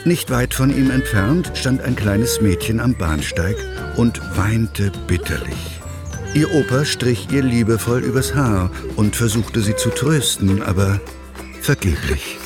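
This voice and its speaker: A man narrating a scripted children's story as an expressive storyteller. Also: medium pitch, a little slow. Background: music.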